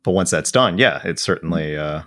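A man in his thirties from the New York area, hosting a casual chat in a hoarse, raspy voice, rambling and correcting himself. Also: low-pitched, expressive, moderate pace, sharp tone. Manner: rambling, hesitant, self-correcting. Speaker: podcast host.

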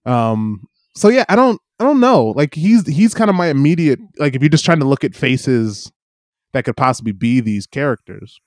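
A man's voice: mid-range voice, very animated, normal speed, darkish tone, dry recording, studio quality. A man co-hosting a podcast in casual chat, rambling and hedging with frequent laughs. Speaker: podcast co-host.

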